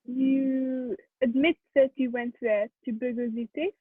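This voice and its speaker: A woman's voice: high voice, fairly even intonation, measured pace, slightly rough, dry recording, phone-quality sound. Woman playing a formal, thin-sounding French police prefect. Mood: probing. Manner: courteous, methodical questioning. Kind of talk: radio play acting.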